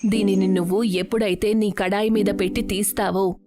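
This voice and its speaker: A woman voicing a kindly old village woman who gives caring, gentle advice in a story. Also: medium pitch, level tone, normal speed, lightly acted. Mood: gentle concern.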